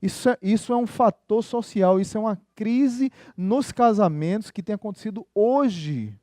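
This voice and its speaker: A man's voice: high-pitched, moderate pace, dark tone, no room sound, clean studio sound. A male pastor preaching a sermon in a conversational style, often turning to the congregation with rhetorical questions.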